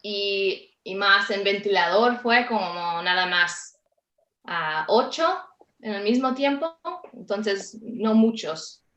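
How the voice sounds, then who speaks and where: A woman from Canada speaks slowly in non-native Spanish, searching for words as a panelist. Her voice is bright, and it comes through an echoey online call. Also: medium pitch. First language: not Spanish. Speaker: healthcare panelist. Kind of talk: unscripted panel answer.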